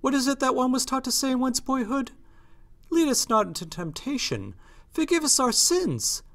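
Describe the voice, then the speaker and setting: A man voicing a horrified, pleading gentleman painter in an agitated, rushed, dramatised novel reading. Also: extremely high pitch, very animated, gravelly, voice pushed up, full character voice. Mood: desperate pleading.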